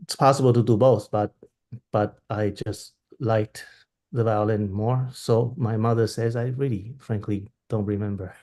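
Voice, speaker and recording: A man in his thirties from Taiwan speaks over a laptop webcam from a room at home. His voice sits a bit low. He answers thoughtfully, restarting phrases as he searches for the right word. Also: steady tone, a little slow, warm tone. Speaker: violinist guest. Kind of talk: video call interview. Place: home room with plain wall. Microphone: laptop webcam on video call.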